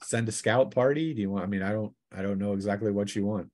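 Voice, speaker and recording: A man running a tabletop roleplaying session as game master, narrating scenes in a halting, drawn-out way. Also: fairly low pitch, darkish tone.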